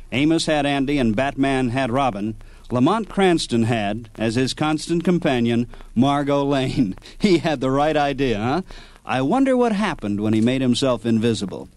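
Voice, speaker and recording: A man presents as a radio host. His mid-pitched voice delivers scripted narration with light asides. The sound is dry and close, without room echo. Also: darkish tone.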